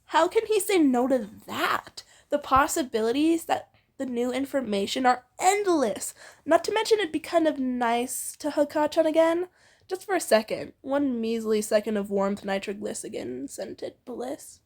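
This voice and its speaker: A woman with a high voice reads a story aloud alongside a co-host. Her intonation is very animated and moves freely up and down. She keeps it playful, with a giggly, teasing way of slipping in asides. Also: moderate pace. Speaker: fan fiction reader and co-host. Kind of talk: playful co-read story narration with banter.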